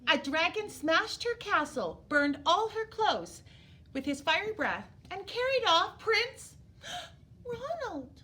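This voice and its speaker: A woman reading a picture book aloud to young children as a storyteller, animated and emphatic, drawing words out for drama. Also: very high voice, slow. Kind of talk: expressive children's read-aloud.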